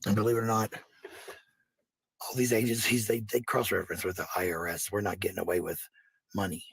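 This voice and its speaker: A man talks in a loose, rambling, casual way, with a heavily husky and gravelly voice that sits a bit low. He is blunt and swears freely. The sound is dry, with hardly any room to it. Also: level tone, a little fast, a bit bright, no room sound, low-quality recording. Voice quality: raspy, gravelly.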